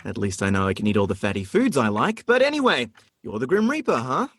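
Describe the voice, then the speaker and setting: A man's voice: medium pitch, big pitch swings, fast talker, a bit bright, breathy edge, no echo. An actor in a radio play playing a sardonic, protective brother in his twenties, theatrical and easily flustered. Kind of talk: radio play comedy acting.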